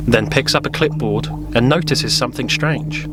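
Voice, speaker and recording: A man's voice: mid-range voice, moderate pace, over loud music. A man giving scripted narration for an audio drama parody.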